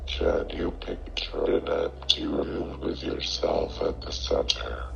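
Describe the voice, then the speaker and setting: A man's voice: rumbling low, very animated, takes it slowly, vocal fry, background noise, heavily acted. A man voicing a sinister otherworldly inner voice in analog horror, solemn, demanding and commanding. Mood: cold, commanding. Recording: muffled lo-fi audio under music.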